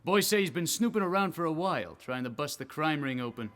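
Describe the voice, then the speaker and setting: A man's voice: a little high, expressive, brisk, a bit bright. A man playing a businesslike gang lieutenant who is eager to please, in an old-time radio serial.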